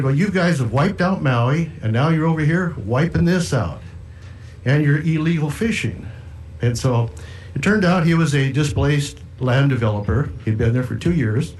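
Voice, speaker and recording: A man's voice: medium pitch, darkish tone, rough recording. A middle-aged man giving public testimony as a fisherman, telling a personal account. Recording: hearing room with faint noise.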